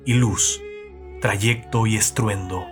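A man reading a scripted introduction in a slow, measured, solemn way. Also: on the low side, steady tone. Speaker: narrator introducing the episode. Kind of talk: scripted narration over music. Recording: narration over loud music bed.

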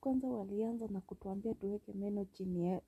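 A woman's voice: medium pitch, a little fast, a crowd in the background. A Kenyan woman co-hosting a podcast in casual, bantering chat. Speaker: podcast co-host. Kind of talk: casual bantering chat, mixing Swahili and English.

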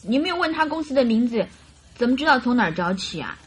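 A woman's voice: fairly high pitch, sing-song, fast, breathy edge. A woman playing a naive, devoted young lady in distress in a radio drama, pleading and girlish. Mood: anxious pleading. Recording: radio drama with music behind.

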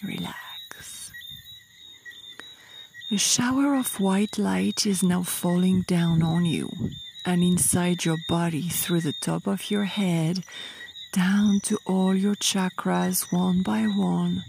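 Slow, low-pitched woman guiding meditation. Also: fairly even intonation, gravelly, darkish tone, slight background noise. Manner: soothing, unhurried, with short phrases and long pauses. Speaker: guided meditation leader. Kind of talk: slow guided meditation narration.

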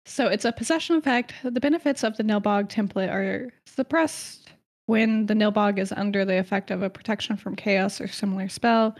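A woman co-hosting a casual podcast, chatty and quick to laugh, telling stories with dramatic flair. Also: medium pitch, vocal fry, darkish tone. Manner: chatty, giggly, dramatic storytelling. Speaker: podcast co-host presenting lore research.

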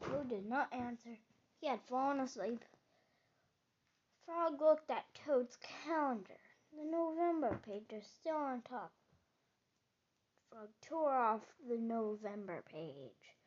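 A child reads a children's story aloud in a halting way, stumbling now and then. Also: mid-range voice, animated, darkish tone. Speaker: storybook reader. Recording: slightly dull, lo-fi sound.

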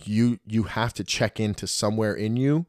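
A man in his thirties telling stories in a casual podcast chat, profane and animated. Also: lowish voice, moderate pace, dry recording, clean studio sound. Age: 30s. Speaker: podcast guest.

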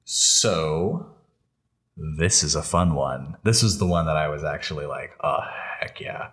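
A man's voice: rumbling low, animated, measured pace, fairly bright tone. A man co-hosting a fan podcast, talking in a casual, enthusiastic, conversational way. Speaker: podcast co-host.

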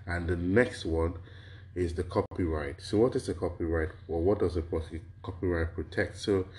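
Man, very low voice, slightly hoarse, lecturing, rhetorical questions, lists of examples. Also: normal speed, a little mellow. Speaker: lecturer on intellectual property. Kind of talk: explanatory lecture to an audience.

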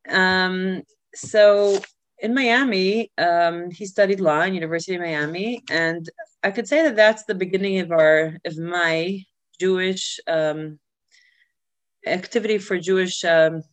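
A woman who grew up in Israel, telling her life story as an interview guest, pausing often with filler sounds and restarts. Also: fairly low pitch, no echo. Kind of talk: personal life story in conversation.

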